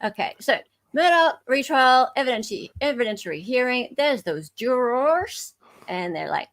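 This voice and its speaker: A woman's voice: high voice, sing-song, normal speed, a bit bright. Australian woman, middle-aged livestream host. Informal, chatty and playful delivery. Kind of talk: casual livestream chat.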